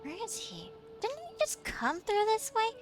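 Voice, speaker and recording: A woman's voice: extremely high pitch, lively intonation, measured pace. Playful woman streamer talking back to a game. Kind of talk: casual let's-play commentary. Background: faint game music.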